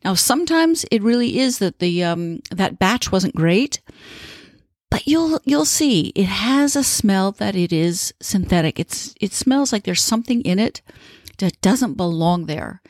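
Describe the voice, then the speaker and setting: A woman's voice: mid-range voice, expressive, a little slow, a little mellow, no echo, studio quality. A woman hosting a podcast alone, reassuring and encouraging beginners as she explains. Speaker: podcast host. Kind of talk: solo educational podcast talk.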